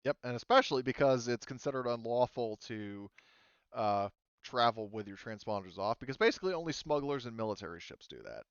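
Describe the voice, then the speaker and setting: A man narrating a tabletop roleplaying game as game master, improvising in a halting way with many pauses. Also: mid-range voice, lively intonation, clean tone, no echo, muffled recording.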